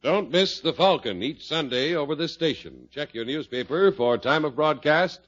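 A man's voice: on the high side, animated, normal speed, a bit bright. An American man announcing and reading scripted ads in an old-time radio style. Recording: muffled vintage radio, faint music.

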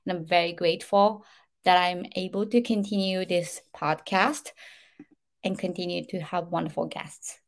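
Gravelly-voiced woman hosting a podcast. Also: lowish voice, steady tone, moderate pace. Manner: scripted, formal introductions. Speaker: podcast host. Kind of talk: podcast interview.

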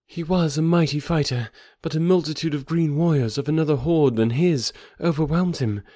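A man's voice: a little high, moderate pace, dark tone, no room sound, clean studio sound, voice pushed up, close to an everyday voice. A man voicing a devoted young woman who speaks fervently in formal, old-fashioned phrasing. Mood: sad.

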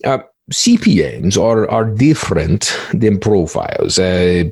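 A man's voice: on the low side, big pitch swings, slow, darkish tone, husky, no echo, exaggerated voice. A man playing a cagey, smug cybercriminal in a mock interview, stammering and repeating words with a pompous air. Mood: guarded, explanatory. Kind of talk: one-act play interview.

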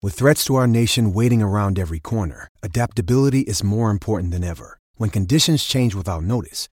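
A man's voice: lowish voice, fast, no room sound, clean studio sound. A man narrating a recruitment commercial in a grave, resolute tone.

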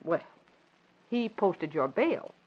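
A woman's voice: lowish voice, big pitch swings, slow pace, heavily acted. An actress playing an earnest, probing professional woman in a 1940s radio drama. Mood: persuasive. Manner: earnest, questioning, emphatic. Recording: dull old radio recording.

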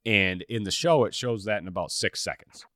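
A man hosting a hunting podcast, chatting in a relaxed way with lots of fillers and restarts. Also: fairly low pitch, normal speed, dry recording, clean studio sound. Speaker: podcast host. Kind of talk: casual podcast conversation.

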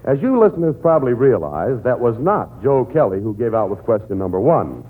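A man's voice: medium pitch, sing-song, a little fast, mellow, a little hiss or hum, low-quality recording. A man announcing a 1940s radio show, with showy, playful introductions.

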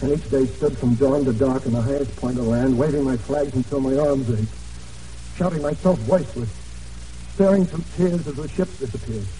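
An actor playing an earnest, plain-spoken American Navy man in hiding, narrating his ordeal with dramatic pauses in a 1940s radio drama. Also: medium pitch, dark tone, slight background noise, natural voice. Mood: desperate, weary. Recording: dull, distant old radio recording.

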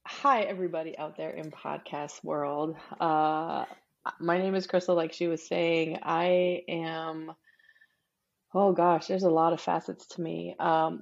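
A woman in her forties from Texas chats freely as a podcast guest, laughing often and trailing into fillers. Her voice sits low with a gravelly fry. The recording sounds slightly dull. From Texas, USA. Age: 40s. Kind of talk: casual interview chat.

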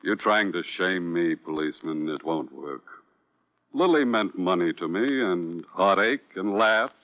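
An actor playing a sardonic Broadway producer, biting and theatrical, in an old-time radio crime drama. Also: male voice, sits low, unhurried. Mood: defensive, bitter. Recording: tinny, band-limited vintage radio recording.

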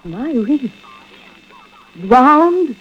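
An actress playing a composed, suspicious wronged wife in a vintage radio thriller, with a deliberate, theatrical delivery. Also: female voice, sits high, animated, heavily acted. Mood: shocked. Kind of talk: 1940s-50s radio drama acting. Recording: dull vintage radio recording.

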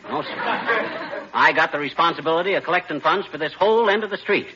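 An American actor playing a blustering, folksy, self-important husband in 1940s radio comedy, boastful and defensive in slangy speech. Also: male voice, fairly high pitch, fast, background noise, lightly acted. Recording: dull, lo-fi 1940s radio recording.

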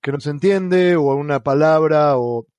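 A man's voice: a little high, moderate pace, a little mellow, no room sound. Argentine man, hesitant podcast co-host. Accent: Argentine Spanish. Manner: hesitant, many fillers and restarts. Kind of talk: casual podcast chat.

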